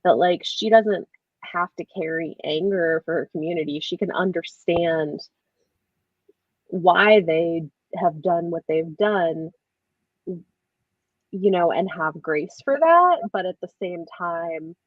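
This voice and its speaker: Low-pitched woman, reflective, dull lo-fi audio. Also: slow, no room sound. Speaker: book discussion livestream host. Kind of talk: casual group book discussion. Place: online group video call.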